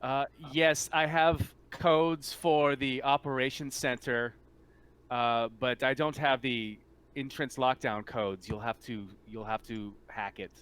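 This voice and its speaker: A man's voice: medium pitch, fairly even intonation, slow pace, fairly bright tone, smooth, pitched above the performer's own voice. A man playing a stiff, cautious corporate liaison in a tabletop game, speaking formally and hesitantly. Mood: formal, wary.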